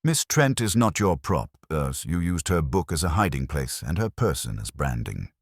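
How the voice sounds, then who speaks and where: An imperious older detective as a male narrator plays him, with an extremely low voice and a dry, close sound. He hands down formal, measured judgments in a stern, unhurried way, as in an audiobook mystery. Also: big pitch swings, no echo, exaggerated voice. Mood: stern, unruffled. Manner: formal, measured, clipped pronouncements.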